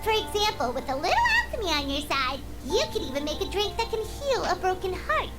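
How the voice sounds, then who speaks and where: A woman voicing a tiny, excitable, childlike fairy companion in a video game, chirpy and squeaky. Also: a little fast. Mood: boastful. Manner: speaks of herself in third person, chirpy. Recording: game music behind the voice.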